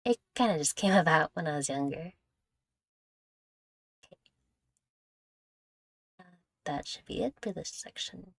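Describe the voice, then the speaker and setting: A woman's voice: sits low, animated, a little slow, creaky, pauses often, no room sound. A young adult woman chatting casually through viewer questions in a rambling, giggly, self-deprecating way, close to the microphone. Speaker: content creator answering viewer questions. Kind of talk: casual Q&A chat.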